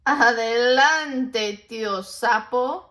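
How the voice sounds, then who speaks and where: A woman voicing a proud, boastful male deer in a storybook, speaking in a slow, self-satisfied drawl. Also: mid-range voice, vocal fry, fairly bright tone. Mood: taunting.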